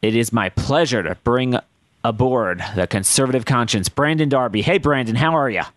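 A man hosting a political podcast, hoarse and losing his voice, speaking in emphatic, halting phrases. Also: mid-range voice, no echo. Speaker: podcast host. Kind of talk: political monologue and guest introduction.

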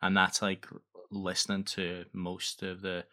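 A man guest in a casual chat, explaining in a halting way and often repeating words as he goes. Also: sits low, monotone, sharp tone. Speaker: interview guest, audio fiction creator.

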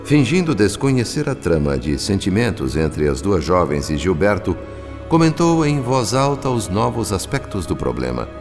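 A man's voice: low-pitched, big pitch swings, moderate pace. A man with a Brazilian accent playing a solemn spirit who narrates a radio drama in a theatrical, literary manner. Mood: solemn, dramatic. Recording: long reverb over soft music. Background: soft music.

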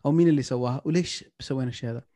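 A man's voice: mid-range voice, rapid-fire, warm tone, no room sound, clean studio sound. A man hosting a casual podcast chat, reflective, slipping English phrases into his talk. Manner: reflective, mixes in English phrases, many restarts.

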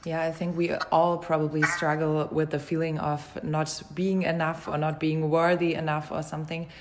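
Woman, low pitch, flat even intonation, thoughtful interviewer, supportive, measured conversational delivery. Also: background noise. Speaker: interviewer, host.

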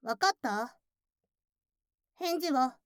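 A voice actress playing a curt, teasing young childhood friend who works as a cafe maid, in an ASMR audio drama. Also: female voice, high voice, lively intonation, drawn-out words, fairly bright tone, dry recording, clean studio sound, full character voice. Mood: teasing, flustered. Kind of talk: Japanese ASMR situation voice drama.

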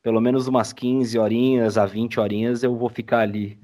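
A man's voice: medium pitch, level tone, normal speed, warm tone. A man speaking as a guest in a casual interview, explaining things informally. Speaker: podcast guest, audio drama creator.

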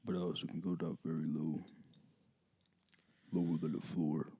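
A man's voice: a bass voice, flat delivery, moderate pace, dark tone, husky. A young man co-hosting a podcast, chatting playfully with friendly slang. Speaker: podcast co-host. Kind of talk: casual chat.

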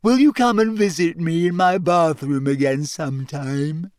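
Audiobook ghost girl played by a man: glum, touchy, high-pitched and drawn out, full of self-pity. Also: slow pace, smooth, no echo, studio quality. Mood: mournful, gloomy. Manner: mournful, shrill when upset. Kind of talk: audiobook character voice.

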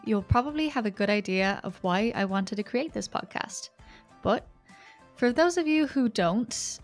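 A young Irish woman with an Irish accent hosting a podcast, talking in a chatty, self-deprecating and wry way. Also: on the high side. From Ireland. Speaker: podcast host. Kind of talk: scripted podcast monologue.

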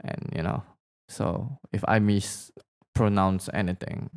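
A man's voice: deep voice, steady tone, slow, vocal fry, a little mellow. A man who grew up in Indonesia, whose first language is Indonesian, chatting casually with his fiancée, teasing her and laughing often. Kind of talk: casual couple chat.

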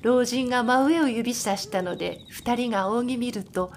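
A woman's voice: on the high side, moderate pace, a little hiss or hum. A woman reading scripted narration for a radio drama.

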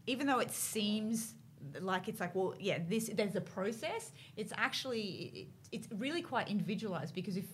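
A woman's voice: medium pitch, animated, moderate pace. An Australian woman with a mid-range voice hosts a casual interview. She is chatty and affirming, and her speech is full of fillers. A faint hiss sits in the background of the recording. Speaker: host, interviewer.

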